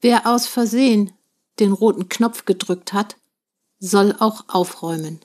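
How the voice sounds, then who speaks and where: A woman's voice: mid-range voice, unhurried, a little mellow. A woman giving a performed reading of short poems.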